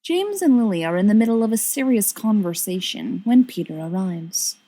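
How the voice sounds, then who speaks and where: A woman reading fiction aloud as an audiobook narrator, in an expressive scripted style. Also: mid-range voice, normal speed. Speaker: audiobook reader.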